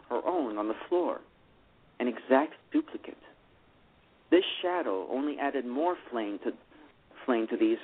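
Husky guy reading a story out, stumbling and restarting, tinny phone-line sound. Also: mid-range voice. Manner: halting, with frequent restarts. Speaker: narrator. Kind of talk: reading a story aloud in a radio play.

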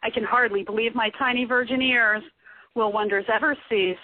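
Woman acting a high-voiced sardonic sister. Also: steady tone, moderate pace, no room sound, close to an everyday voice. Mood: mocking. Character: sardonic, teasing sister of the actress. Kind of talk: amateur radio play acting. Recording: thin, telephone-like radio audio.

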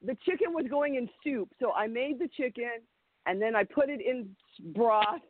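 A woman guest chatting on a radio show, congested from a cold, lively and chatty. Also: a little high, moderate pace, crowd noise. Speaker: guest, former wrestler. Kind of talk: casual radio chat. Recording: phone-like line.

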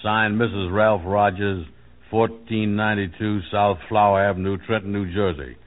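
An actor playing a gullible, scheming sidekick in an old American radio comedy, colloquial and plodding. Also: male voice, on the low side, little pitch movement, normal speed, natural voice. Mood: earnest, reading aloud. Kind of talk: 1940s-50s American radio comedy acting. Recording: tinny, band-limited old radio recording.

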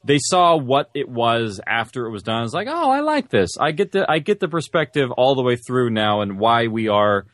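A man's voice: medium pitch, sing-song, normal speed, few pauses, fairly bright tone, no echo. A man talking casually as a podcast guest, conversational and modest about his own work. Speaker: guest, audio drama creator. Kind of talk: casual interview chat.

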